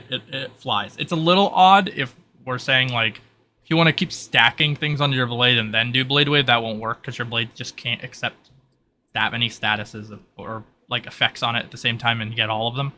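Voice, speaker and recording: A man's voice: mid-range voice, normal speed, pushed and bright. A man acting as game master, casually explaining tabletop rules over a noisy home mic.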